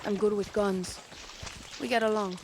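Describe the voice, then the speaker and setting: An actress as a young female guerrilla in a video game. She is tough and wry, with a dry, streetwise directness at a middle pitch, over faint background noise. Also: steady tone, moderate pace, lightly acted. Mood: wry, confident. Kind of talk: video game dramatic acting.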